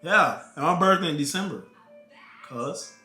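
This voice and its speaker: A man in his thirties co-hosts a casual couple vlog, slangy and teasing in a bright, forceful voice. The room is echoey, with faint music underneath. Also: on the high side, lively intonation, measured pace. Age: 30s. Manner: slangy, teasing, laid-back. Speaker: vlog co-host.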